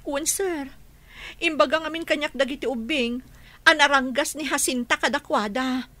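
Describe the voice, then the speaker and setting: A woman's voice: high voice, moderate pace, pushed and bright, no room sound. A woman playing an aggrieved, insistent woman in a radio drama, pleading and emphatic in her delivery. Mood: urgent, accusing. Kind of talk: radio drama acting in Ilocano.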